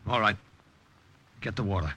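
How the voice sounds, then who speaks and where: A man's voice: fairly low pitch, normal speed, heavy background noise, lightly acted. A man acting a resolute, protective wartime saboteur in an old-time radio drama, speaking and narrating with dramatic urgency. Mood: firm, concerned. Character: resolute, protective male wartime saboteur telling his story. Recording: old radio recording with music behind.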